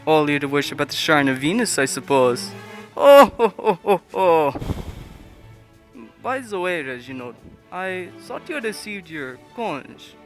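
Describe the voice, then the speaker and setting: Guy as smug pompous old duke, highish bouncy voice, mocking, radio play. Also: sing-song, measured pace, fairly bright tone, soft background music, heavily acted.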